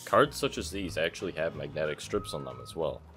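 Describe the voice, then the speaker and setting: A man's voice: a bass voice, animated, brisk, a bit bright, faint music behind. A young man casually narrating a DIY electronics project, with dry, understated humor. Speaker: DIY electronics video maker.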